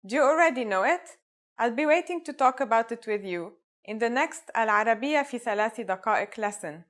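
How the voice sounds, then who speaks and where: A woman with a fairly high voice narrates a scripted language lesson. She presents in an orderly, instructional way, setting out each phrase in turn. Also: lively intonation, quick pace. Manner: instructional, clearly structured phrase by phrase.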